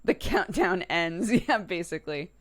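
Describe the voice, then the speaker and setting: A woman's voice: lowish voice, expressive, a little slow. A woman in her early thirties hosting a livestream, chatting casually and informally with viewers in a conversational way. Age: early 30s. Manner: informal, conversational, laughs easily. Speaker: livestream host. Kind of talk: casual chat with live viewers.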